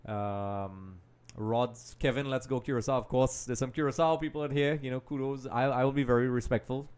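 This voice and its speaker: A man's voice: mid-range voice, normal speed, darkish tone, clean tone. A man from Trinidad and Tobago hosts a live football watch-along, reading viewers' comments and analysing the match in a chatty, analytical way, often saying "um" and addressing "folks.". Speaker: livestream host.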